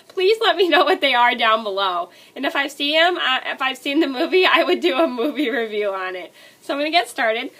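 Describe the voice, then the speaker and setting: A woman's voice: sits high, bright, forceful tone. A woman vlogger with a cold, stuffy nose and scratchy throat, chatting casually and rambling through a movie list. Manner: rambling, many likes and restarts.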